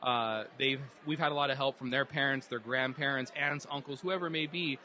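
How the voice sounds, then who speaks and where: A man's voice: mid-range voice, fairly even intonation, a little fast, bright, forceful tone. A man earnestly telling his story as a guest in a casual interview at a live event hall. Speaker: guest, dance group organizer.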